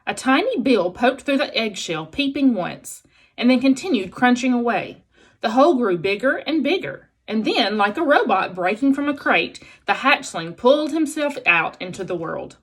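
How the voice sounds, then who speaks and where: A woman teacher reading a children's storybook aloud in an expressive narrating style. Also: on the high side, moderate pace, husky.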